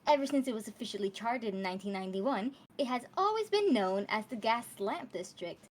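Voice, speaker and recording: Female actor as comedy tour guide: fairly high, animated, chirpy yet stubborn and dismissive. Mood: testy, defensive. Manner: brisk presenter patter, dismissive. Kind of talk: live table read of a comedy radio show.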